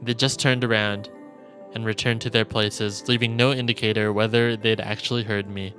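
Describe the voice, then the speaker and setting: A man streaming a visual novel, reading its text aloud as dramatic, measured narration. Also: on the low side, level tone, bright, forceful tone. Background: game music.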